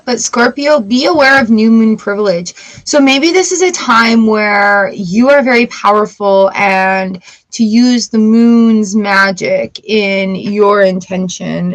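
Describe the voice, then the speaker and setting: A woman with a voice of ordinary pitch talks through a tarot reading in a loose, casual way. She reads the card text aloud and then drifts into chatty, playful asides. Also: slow pace, low-quality recording. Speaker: tarot reader.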